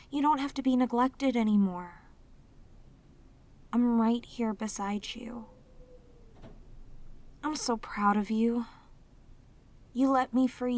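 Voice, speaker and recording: Girl doing a sweet clingy yandere demon lady, high voice, really slow and tender, asmr roleplay. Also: darkish tone. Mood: soothing, devoted. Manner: tender, halting, slow, intensely devoted. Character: sweet, devoted, clingy yandere demoness, long sealed away. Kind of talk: romantic audio roleplay, ASMR acting.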